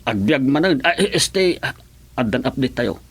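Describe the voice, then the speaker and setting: A man's voice: mid-range voice, big pitch swings, normal speed, a bit bright, husky. A male actor with an Ilocano Filipino accent playing a hesitant, stammering gossip in a comic radio drama. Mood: hesitant, gossipy. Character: hesitant gossiping gentleman, Mister Kaasay, bringing foundation news.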